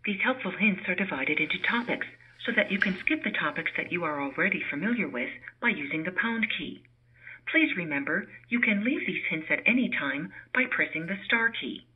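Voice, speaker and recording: Heard through a thin, narrow phone line, a woman announces voicemail instructions. Her reading is scripted and measured, with a soothing, helpful manner. Her pitch moves in lively rises and falls, and her voice is a little husky. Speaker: voicemail system prompt announcer. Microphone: telephone voicemail playback over VoIP line.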